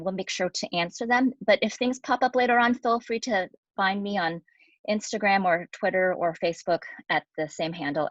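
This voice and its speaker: A woman in her forties giving a conversational online talk as a guest physician, lively and chatty with fillers. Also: medium pitch, dry recording. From United States. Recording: dry, dull lo-fi audio.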